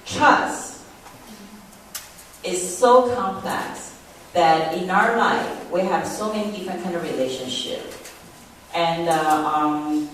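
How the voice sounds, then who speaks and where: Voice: mid-range voice, takes it slowly, long pauses, fairly bright tone, a little hiss or hum. A middle-aged speaker from Taiwan, a native Mandarin speaker with a Taiwanese accent, giving a personal testimony talk with many fillers and restarts. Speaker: presenter. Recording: echoey room, distant mic.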